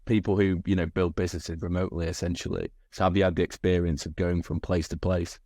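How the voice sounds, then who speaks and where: A young male host on a video call, his voice very deep and level. The sound is dry, and he circles around his questions, restarting and padding them with fillers. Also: steady tone, fast, darkish tone, dry recording. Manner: rambling questions with many fillers. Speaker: podcast host. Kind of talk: interview questions. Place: indoor room.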